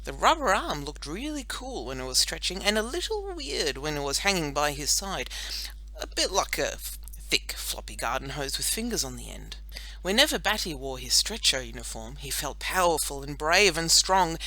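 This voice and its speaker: Bright, animated woman storyteller. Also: low-pitched, slight background noise, poor audio. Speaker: audiobook narrator. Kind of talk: expressive scripted children's story narration.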